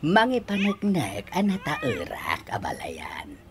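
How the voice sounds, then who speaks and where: Casting: vain elderly husband, radio comedy, slow delivery with wide swings, music underneath. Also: male voice, high-pitched, wildly swooping pitch, a little hiss or hum, exaggerated voice. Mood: smug, teasing. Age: older. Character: boastful old pensioner, doting husband of a young wife. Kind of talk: broadcast comedy radio drama acting.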